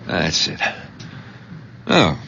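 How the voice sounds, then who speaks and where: An actor playing a patient but doubtful husband, careful and solicitous, in an old-time radio drama. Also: male voice, very slow, sharp tone. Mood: questioning. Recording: muffled, very noisy old radio recording.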